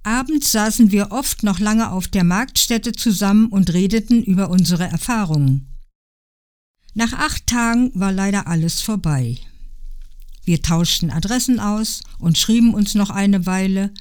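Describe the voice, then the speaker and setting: An older woman from Berlin, reading aloud from her own written story in a calm, reflective way. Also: medium pitch, unhurried, smooth. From Berlin, Germany. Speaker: guest reading her memoir.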